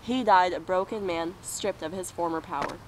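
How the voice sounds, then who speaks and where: A woman's voice: fairly low pitch, moderate pace, slight background noise, poor audio. A young woman co-presenting with scripted biographical narration.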